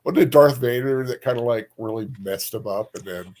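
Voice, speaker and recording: A man co-hosting a casual livestream chat, speaking informally in halting, hesitant phrases. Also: medium pitch, a little mellow. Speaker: co-host of a book discussion stream.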